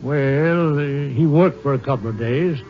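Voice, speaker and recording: Actor as folksy, wary rancher. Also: male voice, fairly high pitch, unhurried, faint music behind, close to an everyday voice. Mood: folksy, unsure. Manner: hesitant, colloquial. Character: folksy, wary male Texas ranch owner. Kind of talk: 1950s western radio drama acting. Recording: muffled, noisy vintage radio recording.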